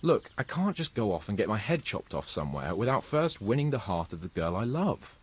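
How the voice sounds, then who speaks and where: A man's voice: fairly low pitch, sing-song, brisk, slightly rough. A young man playing a pompous, romantic young nobleman in a video game cutscene, speaking in a lordly, theatrical way. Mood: dramatic. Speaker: game character.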